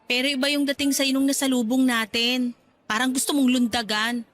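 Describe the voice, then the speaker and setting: A woman in a radio-style drama playing a jealous, suspicious young wife with a sharp, accusing manner. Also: high-pitched, flat delivery, brisk.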